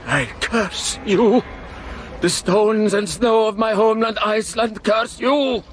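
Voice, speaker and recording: High, slow, vengeful dying count. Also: male voice. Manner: declamatory, menacing. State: weak, dying. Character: dying Icelandic count, vengeful, pious, cursing. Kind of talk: melodramatic radio drama acting.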